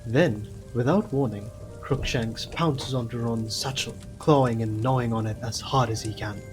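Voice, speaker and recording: A man narrating a scripted audio drama as a measured, descriptive storyteller. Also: mid-range voice, music in the background, natural voice.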